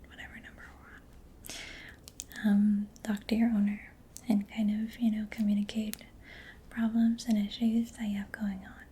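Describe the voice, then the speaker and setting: Softly whispering woman, even and gentle. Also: medium pitch, measured pace, a little hiss or hum. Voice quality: soft, breathy whisper. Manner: gentle, hesitant, reassuring. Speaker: ASMR roleplayer as a robot mechanic. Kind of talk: ASMR, soft-spoken whispered personal-attention roleplay.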